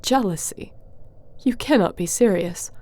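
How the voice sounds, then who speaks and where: A woman's voice: medium pitch, a little slow, a little mellow, natural voice. A woman playing an earnest, loyal sorceress accused of a crime, defiant and desperate, in a fantasy audio roleplay. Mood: indignant, pleading.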